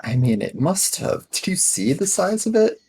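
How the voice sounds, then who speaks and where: A man roleplaying a matter-of-fact, slightly defensive schoolboy in a 1980s sci-fi mystery tabletop game, husky and halting. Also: sits high, sing-song, mellow, pitched above the performer's own voice, exaggerated voice. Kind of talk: improvised in-character tabletop roleplay.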